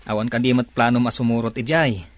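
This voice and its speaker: A man's voice: mid-range voice, steady tone, fast, close to an everyday voice. An actor playing a supportive male coworker in an Ilocano radio drama, brotherly and given to philosophical advice. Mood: consoling.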